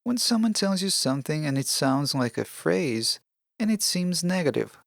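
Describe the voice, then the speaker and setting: A podcast host giving scripted self-help narration in a measured, deliberate, instructional way. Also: mid-range voice, expressive, creaky, no echo, clean studio sound.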